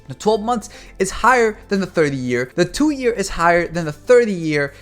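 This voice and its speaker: A young man from Cuba hosts a finance channel, talking loosely and rambling as he turns to his viewers. His pitch rises and falls a lot as he talks. Faint music plays under his voice. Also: moderate pace. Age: 20s. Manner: rambling, animated, addresses viewers as guys. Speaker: finance YouTuber. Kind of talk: casual market commentary.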